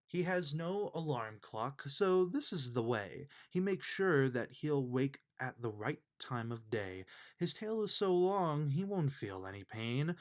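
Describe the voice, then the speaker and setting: A man reads a rhyming children's storybook aloud at an unhurried pace. His medium-pitched voice swings widely up and down, giving the verses an expressive, sing-song lilt. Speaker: reader of a children's book.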